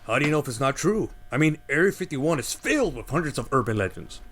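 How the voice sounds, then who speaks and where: A man's voice: mid-range voice, sing-song, fast, fairly bright tone. An actor playing a flustered male radio host who rambles about conspiracy theories, with a faint mains hum behind.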